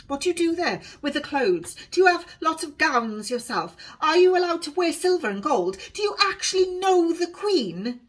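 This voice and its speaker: A woman's voice: very high voice, big pitch swings, moderate pace, hardly any pauses, voice pushed up. A woman voicing an eager, wide-eyed young girl in a Tudor storybook, breathless and full of questions. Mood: eager, awestruck.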